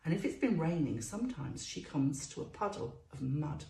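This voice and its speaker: A woman presenting storytime for small children, telling a story in a sing-song way with drawn-out emphasis and questions to the listener. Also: low voice, expressive, creaky, warm tone, roomy sound.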